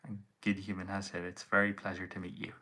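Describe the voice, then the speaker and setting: A man's voice: low-pitched, completely flat delivery, quick pace, sharp tone, slight background noise. A man acting as co-host on a livestream, briefly relaying viewers' questions and comments in a matter-of-fact way.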